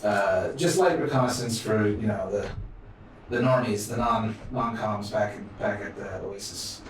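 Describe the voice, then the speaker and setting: Guy playing tabletop rpg, lowish voice, far from the mic in a very echoey noisy room. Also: unhurried. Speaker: tabletop game player. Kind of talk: casual improvised role-play.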